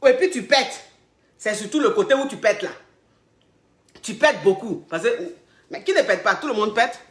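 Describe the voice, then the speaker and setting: A woman with a slight head cold, giving coaching advice on a live stream, repeating herself and bursting out loudly on key points. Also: a little high, expressive, normal speed, echoey room. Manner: emphatic and repetitive, loud bursts on key points. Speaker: relationship coach.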